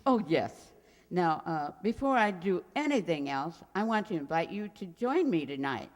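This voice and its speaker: A woman's voice: fairly low pitch, big pitch swings, normal speed. A woman playing a sweet, forgetful elderly landlady who dithers and rambles in a radio comedy. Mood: earnest, dithering. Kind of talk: radio comedy acting, old-time sitcom revival. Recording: very reverberant hall sound.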